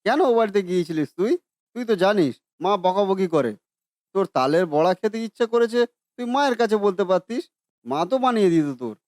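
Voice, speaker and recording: A man voicing a father gently coaxing his son in a cartoon. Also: sits high, lively intonation, fast talker, dry recording, clean studio sound. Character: boy's father, comforting.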